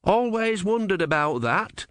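A man's voice: sits high, very animated, measured pace, fairly bright tone, dry recording, pitched above the performer's own voice, exaggerated voice. A man voicing an awkward young novice who stammers and hesitates. The voice is lifted well above the reader's own. It is heard dry and close. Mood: hesitant.

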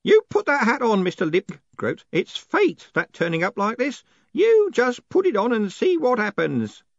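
A man voicing an excitable old postman who speaks in a high, eager, pleading way. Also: moderate pace, vocal fry, no room sound, lo-fi sound, exaggerated voice. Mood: eager, desperate.

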